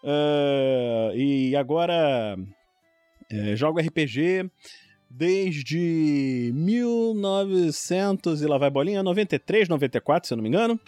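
A man in his forties with a Rio de Janeiro Brazilian accent, telling personal stories in an animated, informal way. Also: a little high, a little slow, dry recording, faint music behind. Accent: Rio de Janeiro Brazilian Portuguese. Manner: animated, informal storytelling with quoted exclamations. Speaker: podcast guest, longtime tabletop RPG player. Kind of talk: casual chat, personal storytelling.

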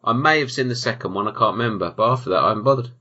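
A British man with a bright, forceful voice hosts a casual film podcast. He banters in a dry, jokey way and swears freely. The audio is slightly dull and lo-fi. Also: medium pitch, racing. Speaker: podcast host.

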